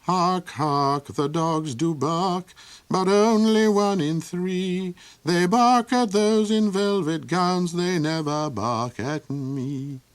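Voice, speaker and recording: A man's voice: sits high, takes it slowly, clear, resonant tone, soft background music, voice pushed up. A man voicing a young minstrel prince who talks and sings in a jaunty, playful way. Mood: jaunty song.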